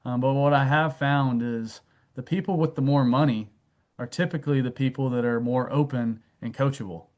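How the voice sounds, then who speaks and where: A man guesting on a podcast talks at an ordinary mid pitch, measured and even. As a wealth advisor, he lays out his points through client stories. He fills his pauses with a soft "um". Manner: steady, anecdotal, filler "um". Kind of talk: explanatory interview answers.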